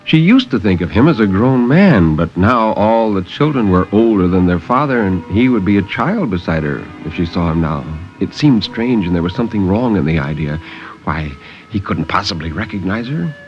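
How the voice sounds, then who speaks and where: Deep, expressive male radio narrator. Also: normal speed, faint music behind. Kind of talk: 1950s radio dramatic story reading. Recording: dull vintage 1950s radio recording.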